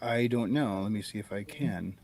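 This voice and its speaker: A man's voice: fairly low pitch, moderate pace, a crowd in the background. A man from New England chatting and joking on a headset during a video call. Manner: jokey, laughs often. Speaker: panelist. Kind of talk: casual group chat.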